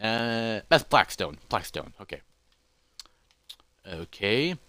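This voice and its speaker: A man's voice: fairly low pitch, sing-song, slow, pushed and bright, no room sound, heavily acted. A man voicing an anxious, easily distracted elephant adventurer who mutters to himself with repeats and hesitations. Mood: pondering. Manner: fretful self-talk with repeats and hesitations.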